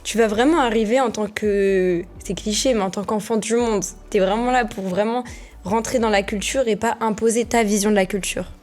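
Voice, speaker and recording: A young woman with a mid-range voice hosts a podcast interview. She asks long, conversational questions and searches for her words as she goes, with soft music faintly underneath. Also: medium pitch, steady tone, moderate pace. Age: young adult. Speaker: podcast host.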